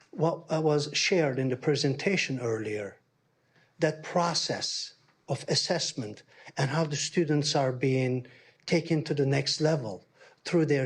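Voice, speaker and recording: Husky older man with a Turkish accent. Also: on the high side. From Istanbul, Turkey. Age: middle-aged or older, a grandfather. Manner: earnest, humble, halting and searching for words. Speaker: grandparent speaking as public commenter. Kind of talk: public comment at a board meeting.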